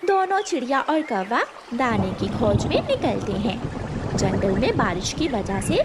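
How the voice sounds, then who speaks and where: Female children's story narrator: very high, animated, expressive scripted read. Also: unhurried, heavy background noise.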